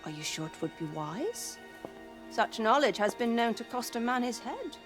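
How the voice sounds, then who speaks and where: A woman's voice: fairly high pitch, sing-song, faint music behind. An actress playing a poised, secretive noblewoman in a video game, with coy, haughty, formal speech. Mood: veiled warning. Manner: coy, haughty, measured, archaic formal phrasing. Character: poised, sly, teasing noblewoman, secretive and imperious. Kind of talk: video game cutscene acting.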